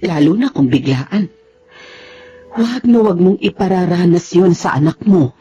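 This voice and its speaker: An actress playing a concerned, firm confidante in a radio drama, speaking in an earnest, admonishing way. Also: female voice, on the low side, expressive, normal speed, dark tone, breathy edge. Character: concerned, firm, God-fearing confidante of an ailing woman. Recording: dull lo-fi radio drama with background music.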